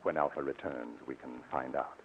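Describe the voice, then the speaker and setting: A man's voice: extremely low pitch, fairly quick, husky. An American man acting a formal, persuasive laboratory assistant in a 1940s radio drama, on a muffled recording. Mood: grave, persuasive. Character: earnest, persuasive male laboratory assistant, secretive and urgent.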